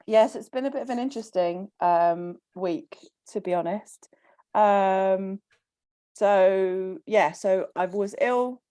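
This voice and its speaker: A woman on a casual coaching call, croaky from illness, chatting with frequent ums and laughs. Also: medium pitch, mellow, no room sound. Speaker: coaching client.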